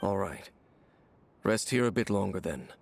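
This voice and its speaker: A man voicing a calm, guarded wandering swordsman in a game cutscene, speaking in a measured, reserved way. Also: low-pitched, gravelly, faint music behind, exaggerated voice. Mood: gentle.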